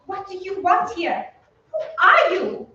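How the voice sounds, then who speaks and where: Actress as haughty opera madame, piercing, animated, theatrical, radio drama, very roomy distant noisy recording. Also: female voice, extremely high pitch, slow, full character voice. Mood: defiant, alarmed. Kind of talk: old-time radio drama acting. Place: theatre stage. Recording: very echoey stage, distant, dull, noisy.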